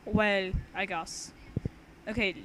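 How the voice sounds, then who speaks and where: A woman's voice: medium pitch, fairly even intonation, slow pace. A young woman reading a story aloud casually with banter, informal and giggly, often stumbling over her words. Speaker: amateur reader of own fan fiction. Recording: noisy homemade amateur recording.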